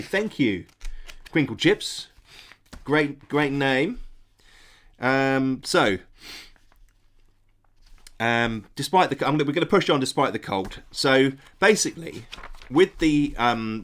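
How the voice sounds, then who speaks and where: A man's voice: medium pitch, a bit bright. Stuffy-nosed man chatting on stream. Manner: hesitant, many ums and restarts, friendly toward viewers. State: stuffy nose from a cold. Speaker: game designer hosting a live stream. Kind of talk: casual live-stream chat, reading out chat messages.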